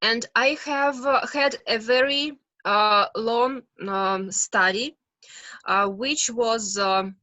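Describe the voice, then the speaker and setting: A woman with a Russian accent, a psychologist answering questions on a video call, speaking slowly and carefully with many hesitations. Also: fairly high pitch, pushed and bright, no room sound, dull audio. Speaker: guest expert psychologist. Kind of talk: webinar Q&A answer.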